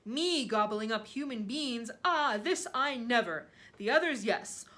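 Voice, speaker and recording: A woman voicing a huge, boastful but friendly storybook giant who speaks emphatically in drawn-out, muddled comic grammar. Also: fairly high pitch, lively intonation, bright, forceful tone. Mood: proud, earnest. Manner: emphatic, drawn-out, mangled words.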